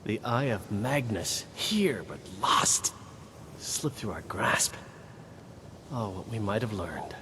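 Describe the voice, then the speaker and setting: An actor playing a grave, exacting wizard in a fantasy game, with a formal, theatrical delivery. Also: male voice, expressive, slow. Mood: mournful. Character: grave, exacting male wizard mourning lost knowledge. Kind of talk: fantasy video game voice acting. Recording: long hall reverb, rumbling background noise.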